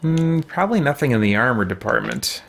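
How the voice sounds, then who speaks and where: A man in his thirties giving casual, unhurried game commentary, musing aloud and often hesitating. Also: medium pitch, animated, creaky, a bit bright. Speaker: streamer playing a role-playing game. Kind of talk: casual let's play commentary. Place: small indoor room with shelves, at a desk.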